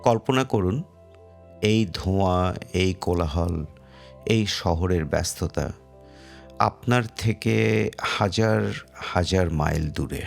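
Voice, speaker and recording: A man with a low, gravelly voice leads a guided relaxation session. He speaks in short, measured phrases with long pauses between them. Faint music plays behind him. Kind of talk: slow guided meditation narration.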